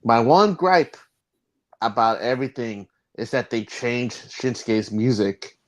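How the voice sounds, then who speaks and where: A man co-hosting a wrestling podcast, chatting casually, laughing often and swearing when excited. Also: medium pitch, slow. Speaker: podcast co-host.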